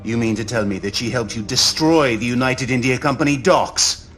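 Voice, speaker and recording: A man's voice: mid-range voice, moderate pace, a bit bright, natural voice. A man playing a stern, skeptical knight in a video game cutscene, speaking formally and accusingly. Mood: outraged. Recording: dramatic music behind the voice.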